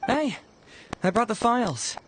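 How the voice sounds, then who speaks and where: Breezy young detective. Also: male voice, very high voice, sing-song, a little slow, background noise, exaggerated voice. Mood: playful. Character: youthful male detective, confident, playful and instructive. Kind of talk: video game voice acting.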